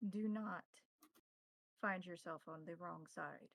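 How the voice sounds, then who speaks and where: A woman's voice: lowish voice, creaky, a bit bright, full character voice. A woman voicing a courtly, devout vampire priest who tutors newcomers formally in a tabletop game. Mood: solemn, instructive. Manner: formal, measured, instructive.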